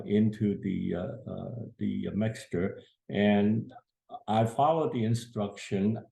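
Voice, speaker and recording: Older man, gravelly and mellow, unhurried halting storyteller, roomy sound. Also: lowish voice. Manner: slow, halting, many filler pauses. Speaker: storyteller grandfather. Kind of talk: casual family storytelling.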